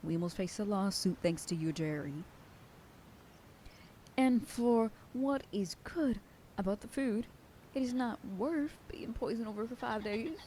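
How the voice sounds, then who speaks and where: A woman's voice: medium pitch, animated, a little slow, dark tone, a little hiss or hum. A woman voicing a protective werewolf sheriff girlfriend in an ASMR roleplay, scolding and bossy. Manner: scolding, bossy then whispering tenderly. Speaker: voice actor.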